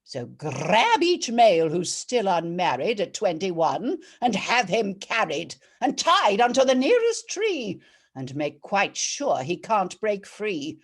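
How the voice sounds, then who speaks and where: A woman's voice: mid-range voice, wildly swooping pitch, slow pace, husky, exaggerated voice. A woman playing a righteous female judge, declaiming comic rhyming verse theatrically and savouring each rhyme. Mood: commanding. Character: regal, righteous female judge of a bawdy court. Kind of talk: dramatic verse reading, rhyming comic poem.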